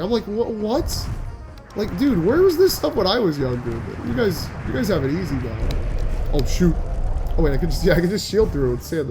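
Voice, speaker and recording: A young man talks through a video game in a loose, rambling way, tripping over his words and circling back to finish his thoughts. His voice sits a little on the high side and has a faint nasal edge. Bright game music plays clearly underneath him. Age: young adult. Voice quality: slightly nasal. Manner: rambling, chatty, frequent restarts and filler likes. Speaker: gaming channel host. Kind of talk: casual gameplay commentary.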